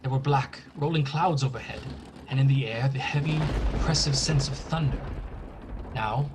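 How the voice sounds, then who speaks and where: A man's voice: medium pitch, normal speed, background noise, natural voice. An actor playing an earnest, anxious young lawyer's clerk narrating his journal in a radio horror play. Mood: uneasy. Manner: earnest, dramatic, apprehensive.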